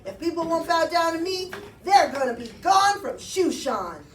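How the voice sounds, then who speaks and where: A woman voicing a pompous, power-hungry male villain in a puppet show, declaiming loudly. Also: very high-pitched, big pitch swings, normal speed, voice pushed up. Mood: indignant. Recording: roomy, distant mic, faint noise.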